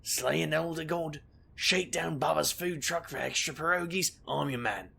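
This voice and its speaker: A man playing a boastful, scheming supernatural investigator in an audio drama, wry, glib and theatrical, with a gravelly, husky voice. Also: fairly high pitch, moderate pace, sharp tone, full character voice. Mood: eager hustling. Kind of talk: audio drama narration and dialogue.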